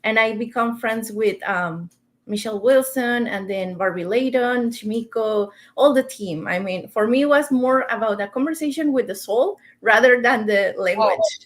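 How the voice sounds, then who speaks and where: A woman's voice: a little high, moderate pace. Mexican-accented woman, earnest guest. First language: Spanish. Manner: earnest, searching for words, small grammar slips. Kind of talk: casual interview chat.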